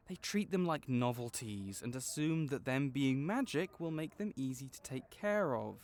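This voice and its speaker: Man playing a gentle, reassuring magical-creature vet. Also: mid-range voice, lightly acted. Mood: warm encouragement. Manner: gentle, encouraging, patient explaining. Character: kind, reassuring male veterinarian for magical creatures. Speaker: voice actor in audio roleplay. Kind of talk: comforting audio roleplay, one-sided conversation.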